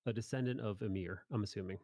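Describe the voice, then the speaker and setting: A male podcast host with a fairly low voice, chatting casually about anime. He rambles informally through recaps and swears freely. The recording is dry, with no room sound. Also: fast talker, warm tone, dry recording.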